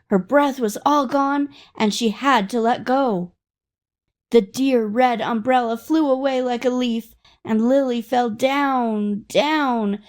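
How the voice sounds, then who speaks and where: Female storyteller for children's read-along narration, fairly high-pitched voice. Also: darkish tone. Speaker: storyteller reading aloud.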